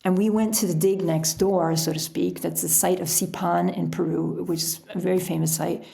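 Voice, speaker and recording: A middle-aged woman telling stories casually as an interview guest, in an animated, chatty way. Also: fairly low pitch, normal speed, vocal fry. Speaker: podcast guest, author and sailor.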